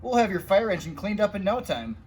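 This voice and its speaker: A man's voice: high-pitched, sing-song, fairly quick, a little mellow, slightly rough, heavily acted. Man doing an exclaiming storybook house painter. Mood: reassuring. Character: cheerful, eager male house painter in a children's story.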